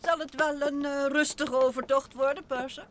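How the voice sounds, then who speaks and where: A woman's voice: high-pitched, steady tone, normal speed, lightly acted. An actress playing a polite, sociable and considerate married lady in a vintage Dutch radio drama. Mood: solicitous. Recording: faint noise of old radio recording.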